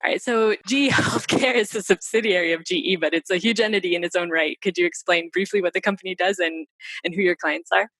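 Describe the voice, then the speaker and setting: Woman, interview host, fast talker, friendly questions, dry close sound. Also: lowish voice, a bit bright, no echo, low-quality recording. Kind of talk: hosted interview.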